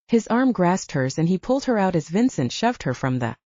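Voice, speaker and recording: A woman's voice: lowish voice, big pitch swings, brisk, dark tone, no room sound. A woman working as an audiobook narrator, reading fiction in a dramatic, scripted style. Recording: dull, band-limited audio.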